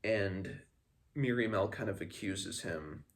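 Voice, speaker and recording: A man hosting a book read-along, casually recapping the story in a halting, conversational way. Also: lowish voice, animated.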